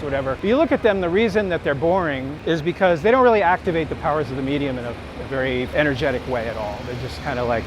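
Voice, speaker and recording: A man who works as a street photographer and teacher, talking casually and reflectively in an earnest, candid, introspective way. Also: a little high. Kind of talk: reflective casual talk while walking. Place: outdoors on a busy city street. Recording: noisy city street with traffic. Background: street ambience and vehicles.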